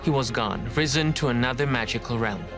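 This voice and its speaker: A man narrating a comic intro film in a mock-dramatic, deadpan way. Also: medium pitch, fairly quick, music in the background. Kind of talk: scripted comic voice-over.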